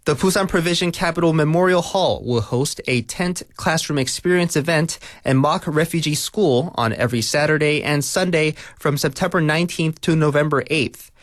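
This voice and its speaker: Higher-voiced man reading the news. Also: normal speed, few pauses, no room sound, studio quality. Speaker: news reader. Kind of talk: scripted local radio news bulletin.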